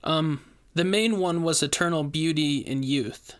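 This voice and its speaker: A man's voice: a little high, unhurried, fairly bright tone. A man voicing a bold, defiant woman, the hero's fiery companion in an audio story, urgent and feisty. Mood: nervous recounting.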